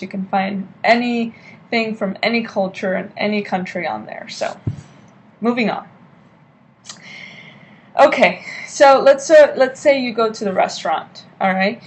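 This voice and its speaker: A young woman giving an informal class speech into a laptop webcam in a hotel room, often checking in with "okay?". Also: mid-range voice. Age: young adult. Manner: chatty, keeps checking in with "okay?". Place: hotel room at night, lamp-lit. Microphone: laptop webcam at desk.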